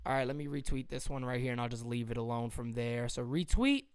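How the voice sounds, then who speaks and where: Man, live-stream host, excitable, yelling bursts, strained voice. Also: mid-range voice, brisk, clean tone. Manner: excitable, swings from muttering to yelling. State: straining his voice. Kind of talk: casual live-stream commentary.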